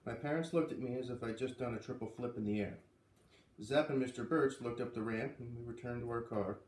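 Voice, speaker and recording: A man reads a children's story aloud with expression, giving the dialogue a light lift. His voice sits at some distance from the microphone, with clear room echo around it. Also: mid-range voice, a little fast, a little mellow, a little hiss or hum. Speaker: reader of a children's book read-aloud series. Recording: roomy, voice away from the microphone.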